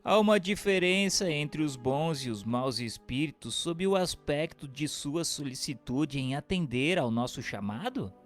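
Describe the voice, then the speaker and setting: A man playing an earnest questioner, reading questions and notes formally and deliberately in a scripted radio drama. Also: on the high side, expressive. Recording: long hall reverb, music bed.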